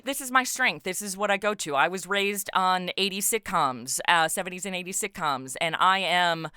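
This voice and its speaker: Bright, clear-voiced American woman chatting animatedly. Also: fairly low pitch, normal speed, dry recording, studio quality. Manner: animated, informal, peppered with like and you know. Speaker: interview guest, comedy podcast writer and voice actor. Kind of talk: casual interview chat about own creative work.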